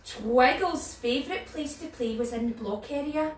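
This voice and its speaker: Northern Irish woman, children's storyteller, fairly high animated voice, recorded a little way from the mic. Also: normal speed. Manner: animated, addressing young children. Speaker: nursery storyteller. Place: living room at home. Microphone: camera a few metres away. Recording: roomy, distant mic, background noise.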